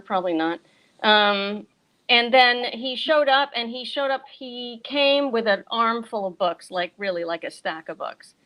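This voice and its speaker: A woman's voice: mid-range voice, a bit bright, poor audio. Chatty middle-aged American woman. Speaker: bookseller, author and guest. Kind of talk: casual chat on a virtual event.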